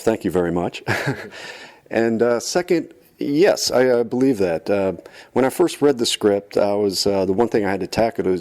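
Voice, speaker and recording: Male actor from Tokyo, slightly low pitch, sincere and courteous in interview. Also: a little mellow. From grew up in Tokyo, Japan. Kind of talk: casual interview answers.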